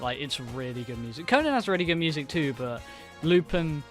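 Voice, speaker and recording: Man, casual podcast chat, chatty, fillers, slightly high voice, faint music behind. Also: expressive, brisk, fairly bright tone. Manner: chatty, laughs often, hedges with fillers. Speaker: co-host, anime movie reviewer.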